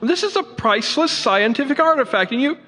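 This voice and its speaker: A man's voice: very high-pitched, expressive, fairly quick, bright, forceful tone, long reverb tail, soft background music, exaggerated voice. A man playing a pompous, fussy, indignant university professor in a radio drama, formal and sputtering.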